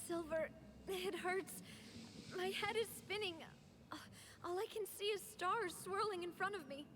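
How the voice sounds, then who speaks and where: High-voiced dazed young noblewoman. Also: unhurried, background noise. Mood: pained, dizzy. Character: dazed, grief-stricken young noblewoman haunted by her dead companions.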